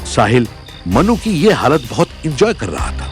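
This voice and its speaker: A man's voice: mid-range voice, wildly swooping pitch, normal speed, soft background music. A man narrating a dramatic audio story in a scripted storytelling style.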